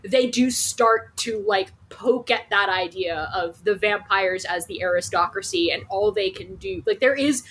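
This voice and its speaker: A woman co-hosting a podcast in animated casual discussion, talking emphatically and swearing freely. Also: medium pitch, measured pace, few pauses, poor audio. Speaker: podcast co-host.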